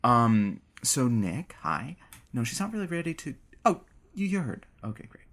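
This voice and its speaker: An actor playing an anxious, wry radio producer sidekick who speaks hesitantly and tries to placate. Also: male voice, mid-range voice, sing-song, moderate pace, fairly bright tone. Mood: awkward, placating.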